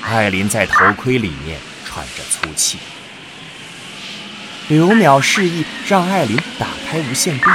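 A man reading scripted narration for a science fiction audio drama in a deliberate, dramatic way. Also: medium pitch, lively intonation, music in the background.

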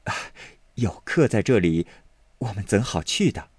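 A man voicing a modest, polite young maidservant who speaks softly, in a dry close recording. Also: on the low side, expressive, unhurried, husky, dry recording.

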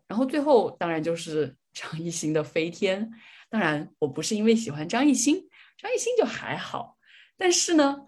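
A woman's voice: mid-range voice, a little mellow. A woman podcast host chatting casually, sharing her opinions like an enthusiastic fan.

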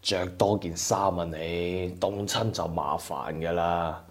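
A man's voice: deep voice, steady tone, slow pace. A man with a Cantonese accent playing a caring, anxious older father in a radio drama. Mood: caring, fussing. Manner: fussing, coaxing.

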